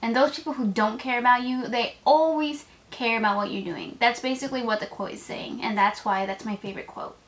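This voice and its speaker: A woman's voice: on the high side, brisk, hardly any pauses. An eighteen-year-old woman chatting to camera in a casual vlog, gushing and full of "like" fillers. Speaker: vlogger. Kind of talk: casual tag video chat to camera.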